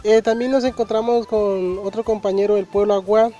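Brief: high, mellow-toned male community reporter, faint music behind. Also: level tone, brisk, dull audio. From Colombia. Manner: plain, asks questions. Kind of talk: community radio interview.

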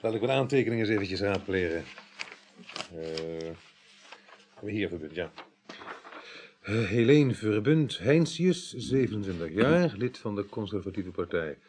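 An actor playing a businesslike, matter-of-fact police detective in a Dutch radio drama, speaking colloquially with slight hesitations. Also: male voice, on the low side, lively intonation, measured pace, long pauses, darkish tone, a little hiss or hum. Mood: methodical, hesitant. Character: businesslike male police detective reporting on a case. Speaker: police investigator.

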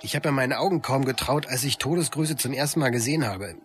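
A man acts out an awestruck martial arts fan in a radio play. He talks in a medium-pitched voice, conversational but excited and emphatic. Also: quick pace, music in the background, lightly acted.

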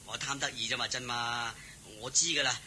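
A man with a Hong Kong Cantonese accent playing a simple, playful, eager young farm servant in a radio drama, chattering excitedly and stumbling over words. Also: mid-range voice, a little fast. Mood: sheepish, apologetic. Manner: excitable, stammering, deferential chatter. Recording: hissy old radio drama recording.

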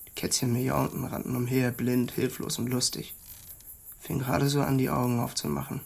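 An actor playing a haunted, simple country man in a radio drama, halting and hushed, with a gravelly, husky voice. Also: medium pitch, little pitch movement. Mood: haunted, remorseful.

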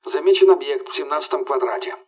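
A man's voice: a little high, fast talker, a little mellow, slightly rough, pitched above the performer's own voice. A man voicing terse soldiers over a walkie-talkie, clipped and official, through a radio filter in an audiobook. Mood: urgent, official. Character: terse military men calling over a radio. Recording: muffled, distant lo-fi radio transmission.

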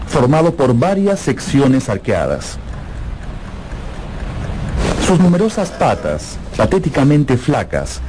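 A man's voice: mid-range voice, background noise. A man narrating a story as a scripted, dramatic radio drama narrator.

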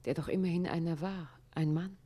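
An actress as a pensive narrator of a literary radio play, speaking in measured, questioning phrases. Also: female voice, on the low side, fairly even intonation, dark tone, husky.

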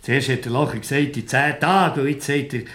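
Ein Schauspieler mit Schweizer Akzent spielt in einem Hörspiel einen herrischen, erregbaren Dorfwirt. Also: male voice, medium pitch, fairly quick, fairly bright tone, roomy sound, close to an everyday voice. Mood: brusque, commanding. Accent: Swiss. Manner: brusque, commanding. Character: bossy, excitable male village innkeeper chairing a meeting. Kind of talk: radio play acting.

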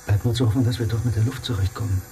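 An actor playing a calm crewman aboard a landing craft in a radio drama, with a husky, muffled voice. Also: lowish voice, very fast, background noise, lightly acted. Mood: wary. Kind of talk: German radio drama acting.

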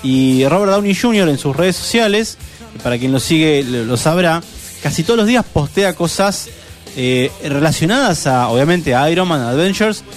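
A man's voice: on the high side, animated, normal speed, faint music behind. A man talking at length about films on a radio show, often restarting his sentences. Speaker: radio co-host. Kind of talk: casual radio chat on films.

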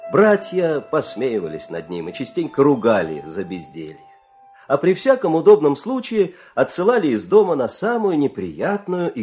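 A man tells a fairy tale as the narrator of an audio play. His delivery is theatrical and animated, moving widely in pitch and using dramatic pauses, with light music behind him. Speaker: storyteller, narrator.

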